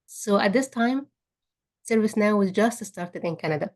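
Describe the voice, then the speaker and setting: A woman's voice: medium pitch, expressive, moderate pace. A woman, speaking at a meetup about her career, often restarting and repeating words. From Egypt. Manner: frequent restarts and repeated words, warm laughing asides. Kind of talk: personal career story talk.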